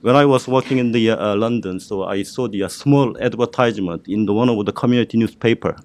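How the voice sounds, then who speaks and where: A middle-aged man with a Korean accent speaks on a panel, his voice sitting a little low. He talks haltingly, with many fillers and restarts. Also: a little mellow. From South Korea. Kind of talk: panel discussion storytelling.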